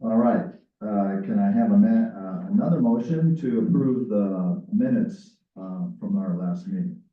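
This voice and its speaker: A man chairing a library board meeting, speaking haltingly with frequent filler sounds. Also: on the low side, animated. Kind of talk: running a public board meeting. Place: council meeting room. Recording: echoey chamber, distant tinny mic.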